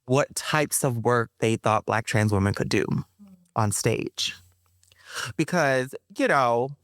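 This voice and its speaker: A woman's voice: extremely low pitch, smooth, no echo, studio quality. A woman guest on a podcast interview, speaking thoughtfully with frequent fillers and restarts, laughing now and then.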